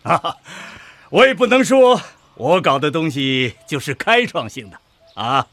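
A pompous husky professor with wildly swooping pitch. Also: male voice, big pitch swings, unhurried, fairly bright tone, no echo, exaggerated voice. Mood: pompous, lecturing. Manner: pompous, lecturing, didactic. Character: pompous older male agricultural research professor. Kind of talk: Chinese radio drama acting.

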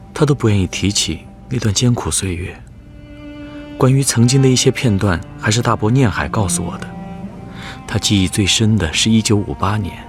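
A man playing an attentive, respectful grandson who narrates his family's past in a scripted radio drama. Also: lowish voice. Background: cello and string music.

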